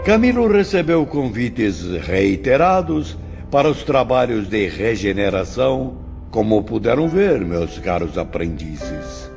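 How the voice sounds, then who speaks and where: A man's voice: lowish voice, very animated, unhurried, vocal fry, darkish tone, heavily acted. A man playing a solemn spiritual mentor, declaiming to his pupils in a dramatized audiobook. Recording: muffled, music behind.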